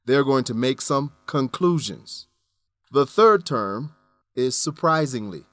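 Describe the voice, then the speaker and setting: A man's voice: mid-range voice, expressive, slow pace, a bit bright, dry recording. Male English teacher narrating, dry studio sound. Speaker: English lesson host. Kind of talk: scripted educational narration.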